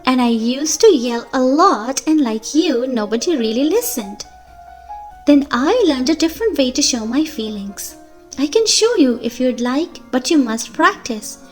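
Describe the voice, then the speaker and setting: A woman voicing a kind little girl in a storybook, gently encouraging and explaining things with reassurance. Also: high voice, animated, measured pace, soft background music.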